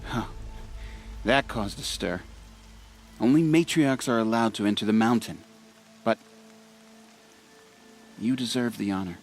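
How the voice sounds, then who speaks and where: Gentle, earnest young tribesman over music. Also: lowish voice, unhurried, pauses often. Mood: sincere, subdued. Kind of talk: video game cutscene acting.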